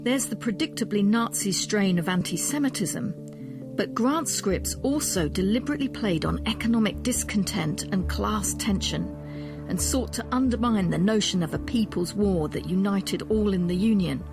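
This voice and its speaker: A woman's voice: medium pitch, moderate pace, music in the background. A woman presenting a radio history documentary, moving between scripted narration and interview questions.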